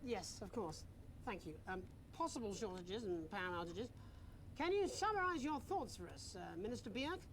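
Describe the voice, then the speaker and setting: A news anchor who tries to stay polished but keeps hesitating. His delivery lifts and dips a lot. Clear noise runs behind him. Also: high-pitched, big pitch swings, moderate pace, fairly bright tone, low-quality recording. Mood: flustered. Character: flustered male TV news anchor.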